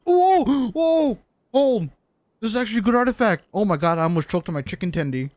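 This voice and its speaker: A man's voice: high voice, lively intonation, brisk, darkish tone. A man livestreaming a game, chatting casually in a jokey, exclamatory way with plenty of swearing. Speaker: game live streamer. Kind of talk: casual livestream chat while playing a game.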